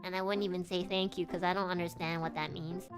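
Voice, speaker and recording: A young woman from Canada whose first language is Chinese, telling a crude childhood story in an animated, laughing way as a streamer. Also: on the low side, quick pace, soft background music. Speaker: virtual streamer. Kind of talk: casual storytelling chat.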